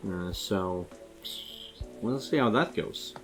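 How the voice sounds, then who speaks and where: A man's voice: low-pitched, takes it slowly, music in the background. A man from Sweden giving casual, rambling let's play commentary, hesitating as he goes. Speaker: streamer playing and commenting on a visual novel.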